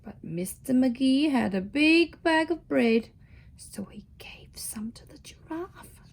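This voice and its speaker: A woman reading a storybook aloud to young children in an expressive way, dropping into hushed asides. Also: sits high, slow, vocal fry, slight background noise. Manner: animated, with hushed whispered asides. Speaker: children's storybook reader, teacher.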